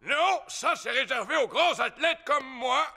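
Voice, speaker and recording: An actor voicing a boastful old male rabbit who teaches gym in a cartoon, with a hearty, booming manner. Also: a little slow, creaky, bright, forceful tone, heavily acted. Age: older. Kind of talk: dubbed cartoon acting. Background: cartoon music.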